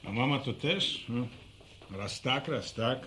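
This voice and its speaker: A man's voice: mid-range voice, takes it slowly, bright, forceful tone, a little hiss or hum. An actor playing a grumbling, teasing older village man in a Polish radio drama, repeating words as he needles. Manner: stammering repetitions, grumbly, needling.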